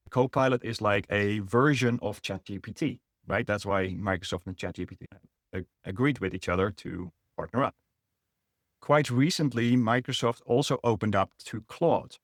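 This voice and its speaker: A middle-aged man with a Dutch accent walks through a business webinar, explaining step by step and restarting now and then. His voice sits low, and it is recorded close and dry with no room sound. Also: dry recording. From Netherlands. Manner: explanatory, with frequent fillers and restarts. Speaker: webinar presenter. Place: online webinar.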